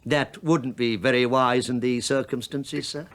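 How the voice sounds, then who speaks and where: A man's voice: medium pitch, steady tone, unhurried, fairly bright tone. An actor playing a sly, shabby British investigator in a radio play, sardonic and mock-deferential as he probes. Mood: rueful. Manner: sardonic, mock-deferential, probing, with dry understatement. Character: wry, sly, shabby male investigator posing as police inspector. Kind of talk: radio drama acting.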